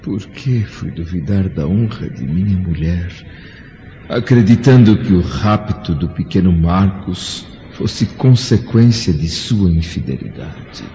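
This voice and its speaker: A man's voice: sits low, lively intonation, slow pace, mellow, full character voice. A man acting as an anguished Roman senator and remorseful father, solemn and theatrical, in a radio drama monologue. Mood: anguished, brooding. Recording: muffled recording with background noise.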